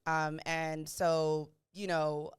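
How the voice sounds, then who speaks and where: A woman of about 30 from Miami, a podcast guest talking about her life in a chatty, animated way and laughing often. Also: low voice, extremely slow, smooth, dry recording, clean studio sound. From Miami, USA. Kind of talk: casual interview chat.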